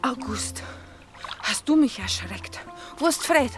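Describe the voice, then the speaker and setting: A woman's voice: fairly high pitch, expressive, slow pace, a bit bright, soft background music. A woman playing an anxious, earnest young woman in love in a German radio play, emotional in manner. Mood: anxious, pleading.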